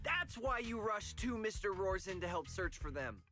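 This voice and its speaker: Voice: medium pitch, sing-song, moderate pace, loud music behind the voice, lightly acted. An actor playing an earnest, gullible subordinate patrol officer in a game, puzzled and exclamatory. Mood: eager realization.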